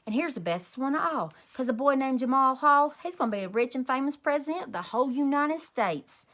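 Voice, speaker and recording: Female teacher, storybook read-aloud: high, bright, emphatic delivery. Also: fairly quick. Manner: animated, emphatic. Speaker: teacher reading aloud to children. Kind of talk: expressive children's storybook reading.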